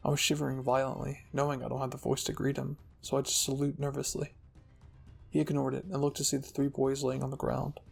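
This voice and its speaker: A man's voice: medium pitch, monotone, brisk, gravelly. A man narrating a scripted fan-fiction story dramatically, as the host of a storytelling channel. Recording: music behind the voice.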